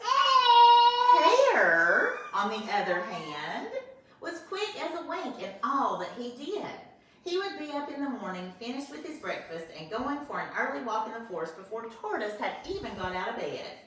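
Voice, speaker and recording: A woman's voice: on the high side, moderate pace, far from the mic, slight background noise. Grandmother teacher reading in an echoey room. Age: older. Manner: warm, expressive storytelling with asides to the class. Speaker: first grade teacher. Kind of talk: reading a storybook aloud to children. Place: home, with a small grandchild nearby. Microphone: phone camera held by her son.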